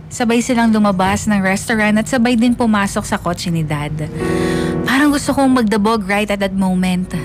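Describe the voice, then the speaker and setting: A woman with a Filipino accent, a radio DJ narrating a listener's letter in an emotive first-person style. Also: medium pitch. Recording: faint music under narration. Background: faint background music.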